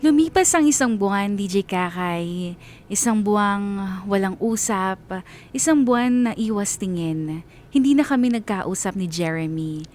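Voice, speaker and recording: A woman narrates a scripted first-person drama at a medium pitch. A faint rumbling noise sits under her voice. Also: lively intonation, unhurried, darkish tone. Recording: clear rumbling background noise.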